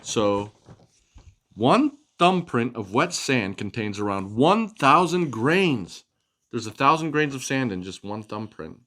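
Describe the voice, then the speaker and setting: A man's voice: mid-range voice, big pitch swings, slow pace, fairly bright tone. A man hosting a children's storytime, reading expressively and chatting casually to explain things. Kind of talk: animated picture-book reading and casual chat with kids.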